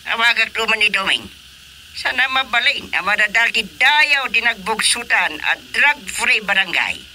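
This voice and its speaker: An older village husband with an Ilocano accent and a very bright, forceful voice. He sits fairly high for a man and sounds concerned, in radio drama style, over faint background noise. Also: expressive, moderate pace, full character voice, rough recording. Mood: questioning.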